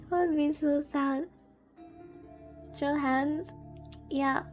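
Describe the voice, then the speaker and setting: A woman's voice: high-pitched, steady tone, drawn-out words, faint music behind. A woman streamer reading a visual novel aloud and chatting about it, with playful exclaimed asides. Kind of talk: livestream chat mixed with story reading.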